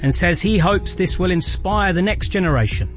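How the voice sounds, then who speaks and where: A man with a slightly high voice reads a sports news bulletin. His delivery is scripted and even. Faint music plays under his voice. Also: normal speed. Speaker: sports newsreader.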